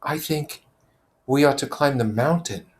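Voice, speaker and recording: A man voicing a shy, introspective schoolboy with a hesitant, halting delivery in a storybook read-aloud. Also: mid-range voice, pitched above the performer's own voice, natural voice. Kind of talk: character voice in novel read-aloud.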